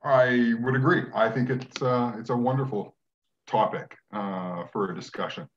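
A man in his sixties from Western Canada, speaking in a measured way with many fillers on a video call from home. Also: mid-range voice. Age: 60s. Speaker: guest. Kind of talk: casual video call conversation. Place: home room. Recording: dull lo-fi webcam audio.

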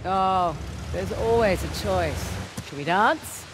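A woman's voice: mid-range voice, slow pace, close to an everyday voice. A woman playing a confident, teasing treasure hunter in a video game, speaking in a playful, wry, conversational way. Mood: teasing, encouraging. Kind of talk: naturalistic video game acting. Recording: game ambience and engine noise.

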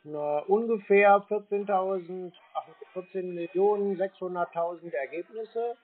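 Husky man on a phone line. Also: high-pitched, unhurried. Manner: matter-of-fact, contrary. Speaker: call recipient. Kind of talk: phone conversation. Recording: muffled, narrow phone line.

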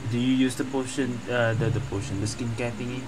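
Very low-voiced woman streaming a game. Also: fairly even intonation, fairly quick, background noise. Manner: musing, thinking aloud. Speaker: gaming streamer. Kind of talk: casual play-along commentary. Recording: game music behind the voice.

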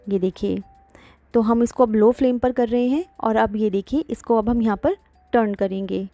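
A woman's voice: on the high side, mellow. A woman hosting her cooking channel, explaining a recipe step by step in a friendly instructional way. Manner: explanatory, guides viewer step by step. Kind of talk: cooking tutorial narration. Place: home kitchen.

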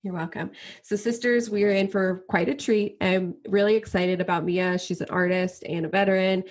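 A woman's voice: medium pitch, steady tone, normal speed, a little mellow. A woman hosting a podcast interview, speaking in an affirming, enthusiastic way. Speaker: podcast host.

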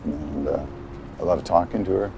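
A man in his forties playing a grieving widower on a city rooftop, talking haltingly with many pauses. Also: very deep voice, creaky, dull audio, background noise. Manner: hesitant, halting, soft-spoken with many pauses. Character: grieving middle-aged widower, gentle and soft-spoken. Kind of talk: film drama scene with outtakes.

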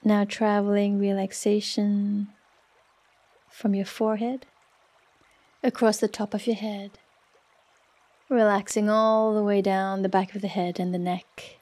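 Slow, mellow woman guiding a relaxation meditation. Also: medium pitch, level tone. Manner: soft, soothing, unhurried with long pauses. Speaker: yoga nidra meditation guide.

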